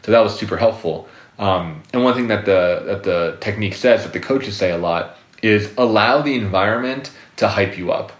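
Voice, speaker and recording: Man, low voice, rambling, filler-heavy, casual interview, echoey room. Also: moderate pace. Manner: rambling, many fillers and restarts. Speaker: guest psychotherapist.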